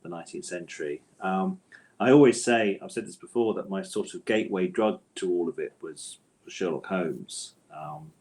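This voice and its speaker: A man's voice: deep voice, moderate pace, darkish tone. A middle-aged man answering as an interview guest in a thoughtful, hesitant conversational way, often rephrasing as he goes. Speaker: interview guest, author and audio drama scriptwriter.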